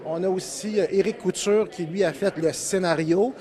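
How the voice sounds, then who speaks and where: Higher-voiced Quebec French man in interview. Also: fairly even intonation, normal speed, dark tone. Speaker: film project spokesman. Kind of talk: informal interview.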